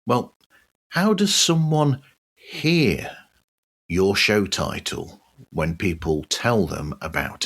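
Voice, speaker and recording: A man hosting a podcast, reading a scripted news roundup in a measured, deliberate way. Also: sits low, a bit bright. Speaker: podcast host.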